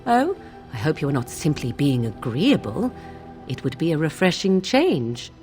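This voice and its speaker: A young witch of the wilds for a fantasy video game. She is wry and haughty, with archaic, mocking phrasing. Her intonation is very animated, and music plays softly behind her. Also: low-pitched, normal speed. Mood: disdainful lecturing. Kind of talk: video game dialogue acting.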